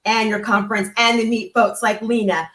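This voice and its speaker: A woman's voice: fairly high pitch, steady tone, moderate pace, a bit bright. A woman giving a casual, heartfelt personal testimonial as a guest, talking effusively and conversationally. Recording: roomy, echoey sound.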